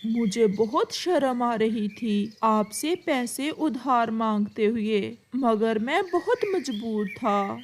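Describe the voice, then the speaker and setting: A poor, shy father pigeon from a cartoon, voiced by a woman. He pleads humbly and slowly in a high voice. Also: lively intonation, heavily acted. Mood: pleading.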